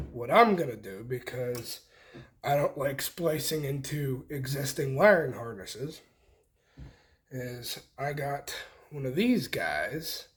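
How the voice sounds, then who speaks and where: A man hosting a casual hands-on DIY tutorial, explaining informally step by step in short pieces as he works. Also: on the high side. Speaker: host of a DIY homestead channel, demonstrating wiring.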